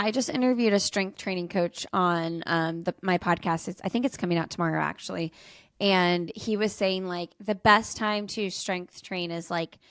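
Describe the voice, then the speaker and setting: A talkative woman who announces races speaks in a relaxed, chatty way, laughing between thoughts. Her voice sits at a middle pitch. The sound is dry, with almost no room. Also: clean tone, dry recording. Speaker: podcast host and race announcer, guest. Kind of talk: casual chat.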